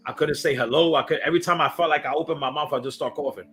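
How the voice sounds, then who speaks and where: A man hosting a casual livestream, raspy and coughing, hyping up chat with sing-song shout-outs. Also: on the high side, rapid-fire. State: coughing fits. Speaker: host. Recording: loud hip hop music behind. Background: hip hop music.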